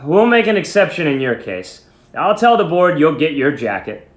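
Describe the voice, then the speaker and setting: Man as a gruff, grudging school principal, echoey. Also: on the high side, sing-song, normal speed. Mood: resigned. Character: tall, gaunt, grey-haired school principal, gruff, grudging. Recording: echoey room.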